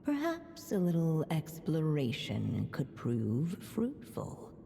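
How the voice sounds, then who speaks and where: A woman voicing a calm storybook narrator in a game, measured and steady. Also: deep voice, vocal fry, a little hiss or hum, exaggerated voice. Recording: long reverb with music.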